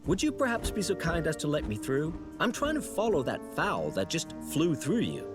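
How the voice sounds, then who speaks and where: Young angel, polite, hesitant, animated. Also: male voice, on the high side, moderate pace. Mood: bemused. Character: young male apprentice angel, flustered. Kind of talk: video game cutscene voice acting. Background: game music.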